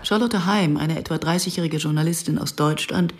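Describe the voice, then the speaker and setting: An actress playing a wary, wry Israeli woman who narrates her own story in a radio drama, with a gravelly voice. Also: low voice, a little fast. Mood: wry narration. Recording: noisy background ambience.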